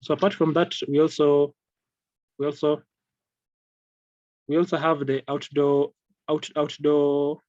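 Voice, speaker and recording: A man giving an informal product training over slides in a halting, repetitive, hesitant way. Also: a little high, level tone, darkish tone, dry recording, highs cut off. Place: online video call with screen-shared slides.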